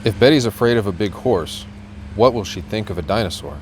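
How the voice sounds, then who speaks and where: Man reading as a friendly storybook boy, low-voiced. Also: fairly quick, slight background noise, close to an everyday voice. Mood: worried. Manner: simple storybook dialogue.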